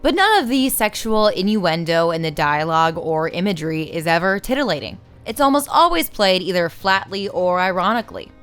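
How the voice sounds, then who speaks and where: A woman's voice: lowish voice, lively intonation, a little fast, hardly any pauses, a bit bright, clean tone, soft background music. Wry woman narrating a film essay. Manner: wry, sarcastic asides. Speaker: video essay host. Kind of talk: scripted film analysis narration.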